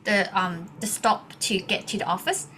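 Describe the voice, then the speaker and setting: A woman's voice: mid-range voice, normal speed, a bit bright, a little hiss or hum. A woman in her thirties from Hong Kong, speaking English with a Hong Kong accent as a non-native speaker, earnestly telling her personal story as a podcast guest over a video call, with frequent restarts. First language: Cantonese. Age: 30s. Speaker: guest, leadership coach.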